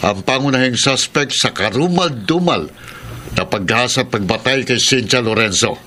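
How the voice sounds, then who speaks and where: A measured, firm police investigator in an old radio drama. His voice is bright and even, set against a faint hiss. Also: medium pitch. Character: calm male police investigator. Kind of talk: 1990s radio drama acting.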